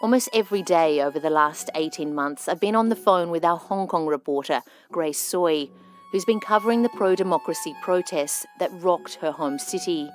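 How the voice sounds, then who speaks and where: Woman w a low voice reading news narration, calm + measured, clear music bed under it. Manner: measured, even delivery. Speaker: radio documentary presenter. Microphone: studio microphone.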